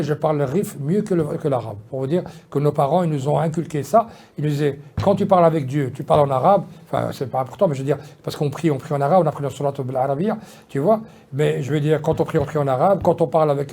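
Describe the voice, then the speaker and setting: A man's voice: a little high, dark tone. A man, talking casually in an interview, rambling with many fillers and restarts. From Belgium. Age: 50s. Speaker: politician guest.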